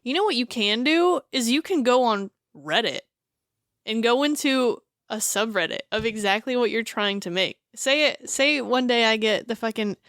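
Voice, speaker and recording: High, clear-voiced woman podcaster. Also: normal speed, dry recording, studio quality. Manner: animated, drops into mocking quoted voices, swears freely. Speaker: podcast co-host. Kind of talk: casual chat.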